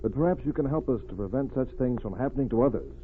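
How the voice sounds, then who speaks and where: An actor playing a sympathetic but businesslike special agent questioning someone in an old radio drama. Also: male voice, mid-range voice, lively intonation, fast, close to an everyday voice. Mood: gentle, probing. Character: male special agent, brisk yet sympathetic investigator. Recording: tinny, noisy vintage radio recording.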